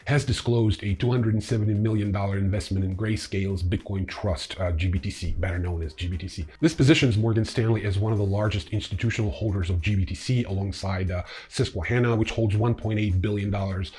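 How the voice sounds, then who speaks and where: A man's voice: fairly low pitch, quick pace, hardly any pauses, darkish tone, slightly rough. A man hosting a newsreel, reading scripted news hesitantly and adding casual, blunt, irreverent opinions. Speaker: newsreel host.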